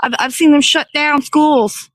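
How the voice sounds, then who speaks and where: Female morning-show host, fairly high pitch. Playful, loose and unscripted, rambling casually to viewers. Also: steady tone, unhurried. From American South. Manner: slangy, playful, easygoing, thinking aloud. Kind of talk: casual rambling livestream chat.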